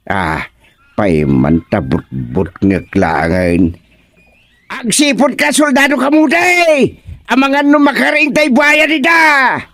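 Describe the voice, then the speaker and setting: A man's voice: high-pitched, no echo, full character voice. An actor with an Ilocano accent playing a bossy, blustering king in a radio comedy, taunting and exclaiming. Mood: mocking, bossy. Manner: exclamatory, taunting, swooping between mutters and yells. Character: bossy, blustering male king scolding his cowardly soldier.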